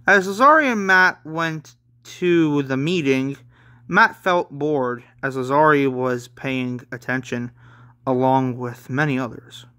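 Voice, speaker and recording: Guy reading his manga story out loud, kinda slow and halting, brightish higher voice. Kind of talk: read-along story narration with asides.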